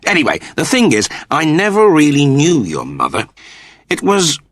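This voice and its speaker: A man voicing an awkward, self-doubting middle-aged father in an audiobook, halting and earnest. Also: on the high side, huge pitch swings, a bit bright, dry recording, pitched above the performer's own voice, exaggerated voice. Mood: awkward, hesitant. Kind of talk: dramatised audiobook dialogue.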